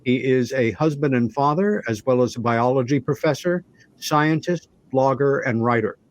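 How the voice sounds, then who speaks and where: A man with a mid-range voice hosts a talk show. He phrases things deliberately and keeps a conversational interviewing style. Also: mid-range voice, no room sound.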